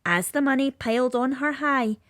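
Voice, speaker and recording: A woman's voice: high voice, moderate pace, a bit bright, no room sound, studio quality. Sing-song woman reading a children's rhyme. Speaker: storyteller. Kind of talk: rhyming children's story read aloud.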